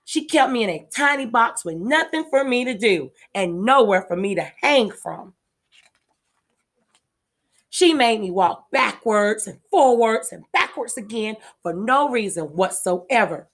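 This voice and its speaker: A woman's voice: a little high, expressive, measured pace. A woman voicing a small, indignant, cunning furry beast in a children's storybook, melodramatic and put-upon. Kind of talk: children's storybook read-aloud.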